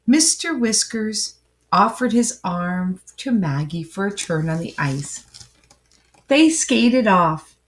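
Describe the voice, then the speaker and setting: A woman reading a children's story aloud with dramatic, emphatic phrasing and pauses. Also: medium pitch, expressive. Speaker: storybook reader. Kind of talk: expressive children's story narration, with asides to listeners.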